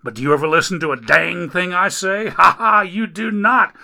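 A man voicing a griping, foul-mouthed male rescuer who grumbles loudly in folksy slang. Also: a little high, normal speed, creaky, pitched above the performer's own voice.

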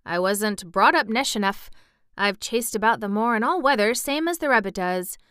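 A woman's voice: mid-range voice, lively intonation, normal speed, a bit bright, clean tone, no room sound, studio quality, natural voice. A woman voicing a cheerful, hardy twelve-year-old country boy who loves nature, speaking hearty, earnest Yorkshire dialect in a storybook reading. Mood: cheerful, proud.

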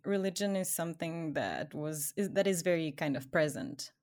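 A woman's voice: sits low, lively intonation, moderate pace, a little mellow. A woman from Ecuador, probably in her thirties or forties, talking casually and expressively in an informal conversation.

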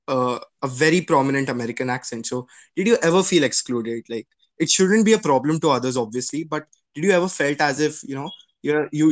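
A young man hosting a podcast, asking rambling questions and reacting sympathetically. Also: a little high. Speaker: podcast host. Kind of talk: casual interview chat.

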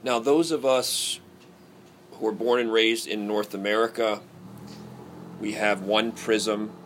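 Low-voiced halting male interviewer. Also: steady tone, a bit bright, slight background noise. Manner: halting, reworded questions. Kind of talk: interview questions.

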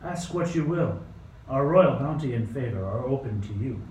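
A man's voice: mid-range voice, expressive, moderate pace, darkish tone, close to an everyday voice. Man acting a suspicious, tyrannical old king, echoey. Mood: regal. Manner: imperious, snappish, paranoid accusations. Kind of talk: radio play acting. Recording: roomy, distant mic, faint noise.

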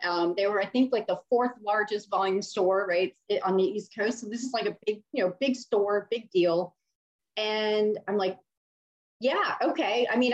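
A woman from Miami, an HR leader, telling her career story in a chatty interview with many fillers. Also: medium pitch. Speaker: HR leader, guest. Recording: slightly dull lo-fi audio.

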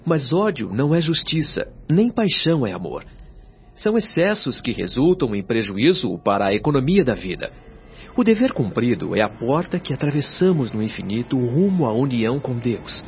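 Actor as solemn spirit guide, animated intonation. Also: male voice, medium pitch, moderate pace, a little hiss or hum. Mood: grave, admonishing. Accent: Brazilian. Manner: solemn, articulate, instructive, formal diction. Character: male spirit guide Lísias, patient, earnest, learned teacher. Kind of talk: Brazilian radio drama acting, didactic. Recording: dull lo-fi sound, faint music behind.

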